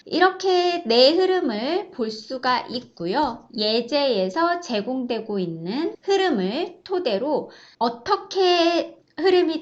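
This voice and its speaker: A woman with a high voice and very animated intonation narrates an online course. She explains things politely, step by step, as an instructor. The recording is a little dull and lo-fi.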